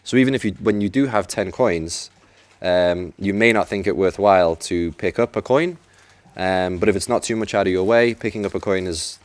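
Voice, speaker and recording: Male esports commentator, very deep, dry sound, explains plays in a chatty way. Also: normal speed, no echo. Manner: chatty, explanatory, some restarts. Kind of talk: live game commentary. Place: event venue.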